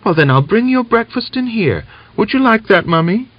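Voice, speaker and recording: A man reading an audiobook, voicing a curious little peasant girl in a much higher voice. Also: sing-song, normal speed, no room sound. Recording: dull lo-fi audiobook recording.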